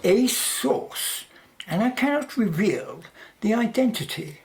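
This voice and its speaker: Radio drama villain: quietly menacing businessman, played by a woman, polite, unhurried, with lively pitch swings. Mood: calculating. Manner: polite, formal, persuasive. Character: suave, calculating male company owner, quietly menacing.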